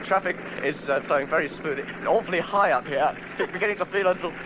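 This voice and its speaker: A man giving a halting radio traffic bulletin. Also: fairly high pitch. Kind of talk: traffic bulletin, supposedly from a helicopter. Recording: loud helicopter-like engine noise. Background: vehicle noise.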